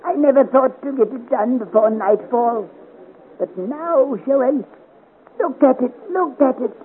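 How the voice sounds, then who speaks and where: High-voiced dapper little soldier, old radio. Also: male voice, measured pace. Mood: delighted. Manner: theatrical, effusive. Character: small dapper soldier, boastful, hot-tempered, warm-hearted gallant. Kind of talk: 1950s radio serial adventure acting. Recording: noisy, narrow-band vintage radio recording.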